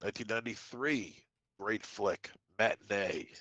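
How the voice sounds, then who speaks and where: Podcast co-host, male, stuffy-nosed, lowish voice, dry mic, listy delivery. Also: a bit bright, no echo. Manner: lists dates and titles with quick asides. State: congested from a cold. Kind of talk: casual podcast segment reading trivia.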